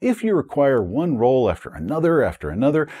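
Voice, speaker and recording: A man in his forties talking to camera as a host, giving advice in an informal, emphatic and expressive way. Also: mid-range voice, brisk, husky. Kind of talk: conversational advice monologue to camera. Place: small indoor room with bookshelves and curtain. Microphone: lapel mic.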